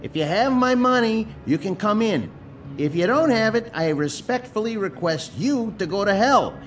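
This is a world-weary landlord in a radio play. His voice sits a bit high and swings widely in pitch. He grumbles and wisecracks with exasperated sarcasm, over soft music. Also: animated, moderate pace. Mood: grouchy, wary. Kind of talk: dramatized audio drama dialogue.

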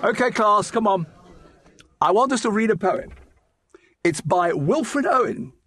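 An actor playing a schoolteacher in an audio drama, addressing a class in an instructive manner. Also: male voice, high-pitched, lively intonation, a little slow, fairly bright tone, a little hiss or hum. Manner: clear, instructive. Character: brisk male schoolteacher addressing his class.

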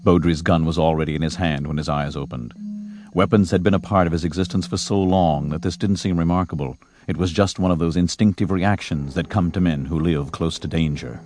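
A man narrating a scripted western story in a measured, dramatic storytelling manner. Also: low voice, a little mellow. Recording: music under the narration. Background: music.

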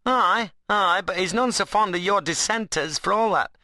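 A man's voice: sits high, normal speed, bright, forceful tone, dry recording, studio quality, voice pushed up, heavily acted. A man voicing a wiry, sarcastic young workman in an audiobook novel, mocking and needling in a dialect.

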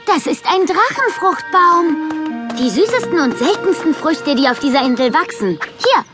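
A clever little girl creature in a children's radio play. Her voice is extremely high, perky and very animated, over clear background music. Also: measured pace. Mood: excited. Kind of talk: German children's audio drama acting.

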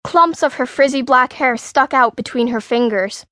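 Woman audiobook narrator, higher-pitched, dry close sound, playing an outspoken schoolgirl telling her own story. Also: normal speed, fairly bright tone, dry recording. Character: indignant outspoken sixth-grade girl telling her story. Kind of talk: scripted first-person children's story narration.

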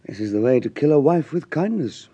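An actor playing a blustering, tyrannical husband in a classic radio Shakespeare comedy, theatrical, swinging between roaring and mock-sweet coaxing. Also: male voice, medium pitch, animated, a little fast, warm tone, husky, dull audio. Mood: sly, scheming. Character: blustering, tyrannical husband, loud master taming his bride.

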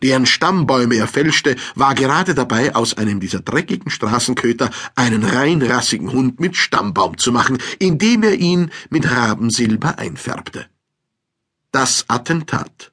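A man with a husky voice reading literary narration in a measured storytelling manner. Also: medium pitch, lively intonation, a bit bright.

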